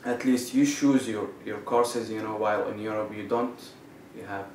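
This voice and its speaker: A young man from Saudi Arabia, a student, answering interview questions in a halting way with many fillers and restarts. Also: on the low side, steady tone, darkish tone. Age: young adult. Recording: roomy, distant mic with faint noise.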